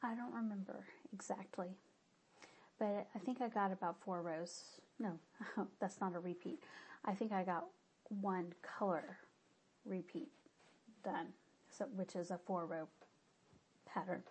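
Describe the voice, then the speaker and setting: A woman's voice: medium pitch, steady tone, a little slow, long pauses, a little mellow, breathy edge. A woman with a stuffy nose giving a casual, rambling update on her knitting projects, often stopping to correct herself. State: stuffy-nosed. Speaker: knitting podcaster.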